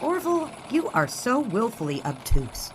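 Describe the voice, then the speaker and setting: An actress playing a wry, teasing confidante of a sportswriter in a 1920s radio drama. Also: female voice, fairly high pitch, huge pitch swings, normal speed. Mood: exasperated.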